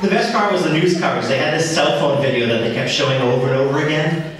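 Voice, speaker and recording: A man's voice: a little high, fast talker, a little hiss or hum. A man doing a wry, self-deprecating stand-up set from the Boston area. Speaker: stand-up comedian. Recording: echoey club from far off.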